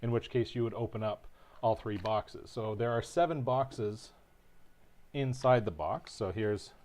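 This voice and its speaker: A man co-hosting a board game livestream, calmly walking through setup and rules in a relaxed, explanatory way. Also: lowish voice, unhurried. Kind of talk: casual livestream rules teaching.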